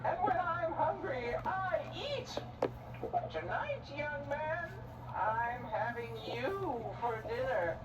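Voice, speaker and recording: A woman's voice: high-pitched, sing-song, dull audio, heavy background noise, exaggerated voice. A woman playing a gleefully wicked, hungry old witch in a children's story, cackling and gloating as she draws out her words. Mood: impatient, menacing. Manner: cackling, gloating, drawn-out delivery. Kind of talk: theatrical children's storybook acting. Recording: roomy, distant, loud music behind.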